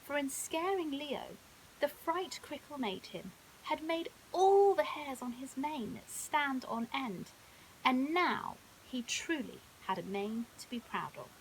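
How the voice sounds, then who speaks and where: A woman with a high, very lively voice reads a children's bedtime story. Her delivery is dramatic and expressive, with some hiss on the recording. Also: takes it slowly, poor audio. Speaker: storyteller, primary school teacher. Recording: audible hiss.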